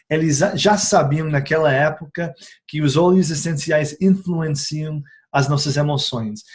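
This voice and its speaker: Hesitant man with a higher voice. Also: darkish tone. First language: not Portuguese. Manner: hesitant, frequent fillers and self-corrections. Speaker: essential oils presenter. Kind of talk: casual explanatory talk.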